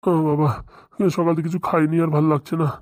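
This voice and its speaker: Cartoon casting: male actor for middle-aged ailing teacher, self-pitying moans, flat intonation, dry studio sound. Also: a little high, quick pace, no room sound, lightly acted. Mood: feverish moaning. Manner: moaning, plaintive. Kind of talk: comic cartoon voice acting.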